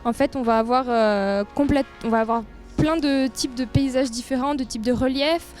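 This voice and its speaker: A woman's voice: sits high, moderate pace, a little mellow, faint music behind. A woman giving an informal promotional interview as a tourist office representative, speaking with enthusiasm. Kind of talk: informal promotional interview, describing local trails.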